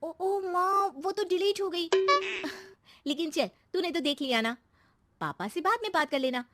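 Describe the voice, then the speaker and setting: A woman's voice: extremely high pitch, lively intonation, a little slow, fairly bright tone, pitched above the performer's own voice, heavily acted. A woman voicing a greedy, scheming young daughter in a moral story, with an insistent, coaxing manner. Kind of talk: acted moral-story dialogue.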